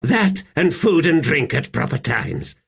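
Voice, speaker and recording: Man acting a high-strung, imperious young emperor. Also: sing-song, gravelly, breathy edge, full character voice. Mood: anxious, imperious. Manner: halting, strained, swinging between command and fragility. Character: young undead emperor, imperious, unstable, weary and fearful. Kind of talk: audiobook character acting.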